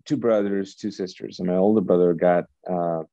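Deep, mellow-voiced Urdu-speaking man. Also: normal speed, no echo, muffled recording. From Kuwait. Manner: animated storyteller, restarts often. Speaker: pastor, guest. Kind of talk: casual storytelling interview.

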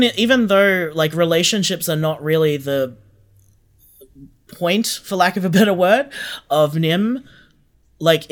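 A man's voice: fairly high pitch, fairly bright tone. A man explaining things earnestly in a reflective interview, repeating phrases for emphasis. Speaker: podcast guest, audio drama co-creator.

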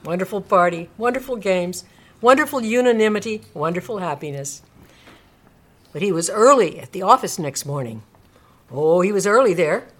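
A woman narrating a classic story in a live radio reading, expressive and dramatic, lingering on descriptive words. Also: mid-range voice, normal speed.

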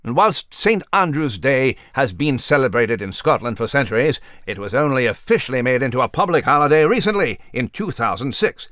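Casting: male actor as prim mouse newsreader, lively intonation, formal and long-suffering, dry studio sound. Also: medium pitch, a little fast, hardly any pauses, no room sound, lightly acted. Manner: formal, erudite, fussy. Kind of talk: mock newscast in comedic audio drama.

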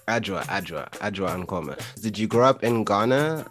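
A man's voice: on the low side, expressive, normal speed, fairly bright tone, faint music behind. A man hosting a podcast who talks in an earnest, enthusiastic, chatty way. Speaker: podcast host. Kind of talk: casual interview chat.

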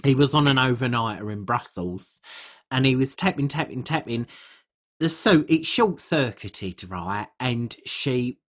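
A performer playing a crude, gossipy middle-aged English woman podcaster, telling rambling comic stories in a blunt, husky way. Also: mid-range voice, animated, unhurried, fairly bright tone. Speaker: podcast co-host. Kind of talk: comic character chat, rambling anecdotes.

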